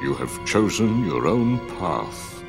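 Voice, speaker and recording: An actor playing a mysterious cloaked older man in a video game, speaking in a solemn, cryptic way with a gravelly voice over game music. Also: sing-song, slow, full character voice. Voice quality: deep gravelly voice. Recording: game audio with loud music underneath.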